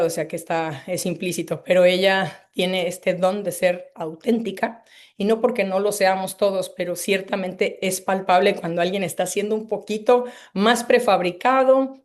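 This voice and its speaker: A woman's voice: medium pitch, darkish tone. A woman in her fifties, originally from Mexico City, hosting an online presentation over a video call in an effusive, rambling way with many hesitations. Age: 50s. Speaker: webinar host. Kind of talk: online presentation to a live audience.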